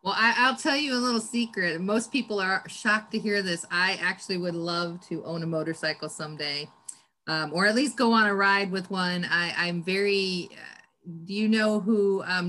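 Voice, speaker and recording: A woman's voice: on the low side, steady tone, moderate pace, sharp tone. A middle-aged woman hosting a podcast interview, chatty and teasing, often laughing as she talks. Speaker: podcast host. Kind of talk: casual interview chat.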